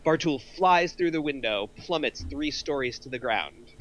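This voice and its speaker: Male narrator for script action lines, middle-aged, forceful bright tone, audible background noise. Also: fairly high pitch, animated, moderate pace, highs cut off. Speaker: narrator of a script table read.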